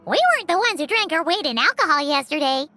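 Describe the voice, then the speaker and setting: A woman's voice: high voice, very animated, quick pace, no room sound, heavily acted. A woman voicing a small, childlike, cheeky fairy companion in a video game, perky and blunt. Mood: teasing. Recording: loud music behind the voice. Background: music.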